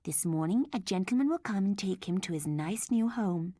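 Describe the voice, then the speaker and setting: A woman voicing a fussy middle-aged mother who chides her daughter briskly. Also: mid-range voice, expressive, dark tone, no room sound, studio quality, close to an everyday voice. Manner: brisk, chiding.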